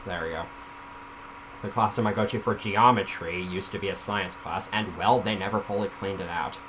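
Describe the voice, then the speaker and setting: A man with a fairly low voice reads a story aloud in an informal way, slipping between the text and his own critical commentary. The recording has clear background noise. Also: steady tone, normal speed. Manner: informal, self-critical commentary while reading. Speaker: reader of their own story. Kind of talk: casual read-aloud of a story with off-script asides.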